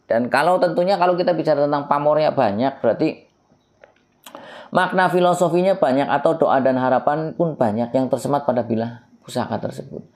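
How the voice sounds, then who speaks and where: A man with a stuffy nasal voice, hosting his own keris channel. He opens with long prayers, then explains a blade in a measured way, with pauses and fillers. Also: a little high, a little mellow. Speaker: keris collector and channel host. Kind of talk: slow devotional-toned explanation to camera.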